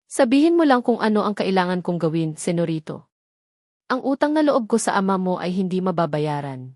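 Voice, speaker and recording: A woman's voice: fairly low pitch, very animated, fairly quick, darkish tone, no echo, studio quality. A Filipino-accented woman voicing a loyal, worried male family driver with a deferential manner in a narrated story. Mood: earnest.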